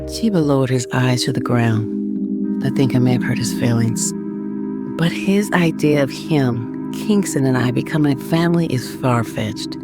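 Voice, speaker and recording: A woman's voice: extremely low pitch, unhurried. A woman playing a reflective, torn middle-aged mother who narrates her story in a first-person audio drama. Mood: wry, worried. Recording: loud music under the voice.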